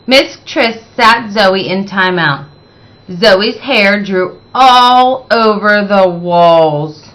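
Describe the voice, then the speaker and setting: Female picture-book narrator, slow and deliberate, bright tone, expressive with dramatic emphasis. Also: mid-range voice, low-quality recording. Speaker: read-aloud storyteller.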